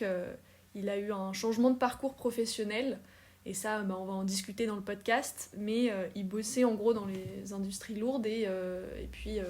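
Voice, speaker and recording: A woman's voice: mid-range voice, a little mellow. A young woman, a student, hosting a podcast, introducing the guest and asking questions in a friendly way with frequent fillers. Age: young adult.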